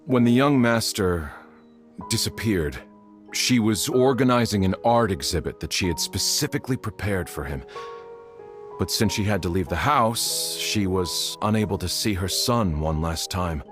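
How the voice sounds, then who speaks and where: Low-voiced, grave family doctor. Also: male voice, animated, over music. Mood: solemn, regretful. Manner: formal, measured, solemn. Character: grave, measured male household doctor bearing sad news. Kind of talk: video game voice acting.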